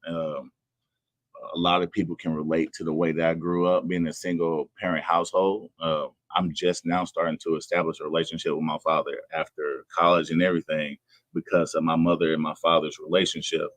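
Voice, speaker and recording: A man in his thirties with a low, deep voice. He speaks sincerely and plainly in an interview, recorded dry and close. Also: level tone, brisk, fairly bright tone, no room sound. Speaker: personal trainer, guest. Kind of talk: earnest conversational interview.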